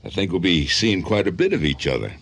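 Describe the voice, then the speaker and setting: A man playing a flirtatious businessman in his forties with a husky voice, in a radio drama. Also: low-pitched, very animated, fairly quick, a bit bright, exaggerated voice.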